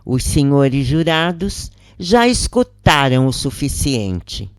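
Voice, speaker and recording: A stern male prosecutor acted out by a narrator addresses a jury formally and curtly. The delivery is slow and the recording dry, in the manner of an audio story. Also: mid-range voice, very animated, clean tone, dry recording.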